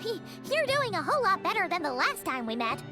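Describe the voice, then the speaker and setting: A tiny, excitable companion sprite in a video game, chattering with bubbly energy. The voice is very high and swoops around a lot. Game music plays clearly underneath. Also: female voice, brisk. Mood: teasing. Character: tiny chirpy non-human companion sprite. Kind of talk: game voice acting. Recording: game dialogue over music. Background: game score music.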